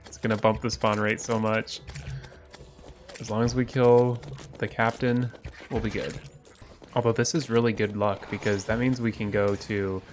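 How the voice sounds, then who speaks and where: A man with a congested, stuffy voice from a cold, giving upbeat let's play commentary on a game and getting excited over loot. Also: fairly low pitch, moderate pace, faint music behind. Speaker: gaming YouTuber.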